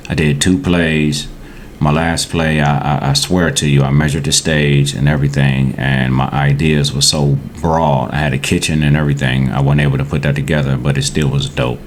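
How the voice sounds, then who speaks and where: A man's voice: a bass voice, little pitch movement, normal speed, slight background noise. An American man in his forties chatting casually as a podcast guest, blunt, slangy and profane. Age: 40s.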